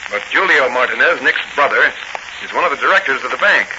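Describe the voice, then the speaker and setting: A man's voice: medium pitch, animated, fast, lightly acted. An American actor playing a confident, earnest policeman hero in a 1940s radio drama. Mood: earnest, determined. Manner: earnest, resolute. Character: brisk, confident policeman and masked crime-fighter hero. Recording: muffled, noisy 1940 radio recording.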